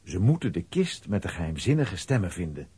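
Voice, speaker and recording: A man narrating a children's radio play as an expressive storyteller reading a script. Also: low-pitched, brisk, breathy edge, dry recording.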